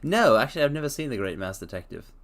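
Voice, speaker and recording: A man hosts a casual live stream, his voice sitting at an ordinary middle pitch. His delivery is playful and theatrical, and he swings his intonation up and down in a lively way. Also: fast talker. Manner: theatrical, playful, calls listeners mortals; hums softly to himself between phrases.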